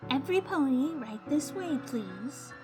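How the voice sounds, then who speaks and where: A woman playing an authoritative older pony mare who runs a shelter, husky and formal, in a dramatised fan fiction. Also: high-pitched. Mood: brisk, polite. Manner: formal, commanding.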